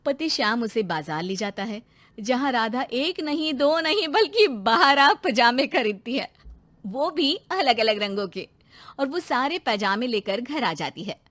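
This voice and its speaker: A woman narrating a cartoon story in a brisk, matter-of-fact way. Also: a little high, very animated, fairly bright tone, slight background noise.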